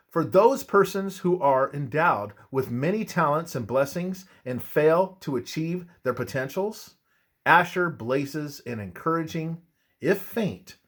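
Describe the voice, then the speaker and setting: A man reading a scripted religious text aloud in a solemn, measured, preacherly way, as in devotional narration. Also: fairly high pitch, a bit bright.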